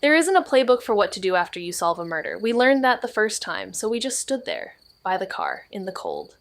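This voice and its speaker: Deadpan, weary young woman detective. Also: medium pitch, fairly quick, creaky. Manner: deadpan, terse. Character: Mags, level-headed, weary young woman amateur detective. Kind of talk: naturalistic audio drama acting.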